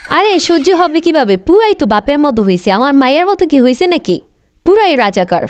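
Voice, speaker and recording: A woman's voice: high-pitched, sing-song, fairly quick, dry recording. A woman voicing a bossy, sulky, spiteful grandmother in an amateur comic cartoon, nagging and demanding petulantly. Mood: scornful.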